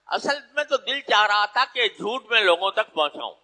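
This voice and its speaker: A man's voice: high voice, animated, bright, forceful tone. A man preaching an Islamic moral sermon in a didactic, emphatic way, repeating phrases for stress. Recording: long hall reverb.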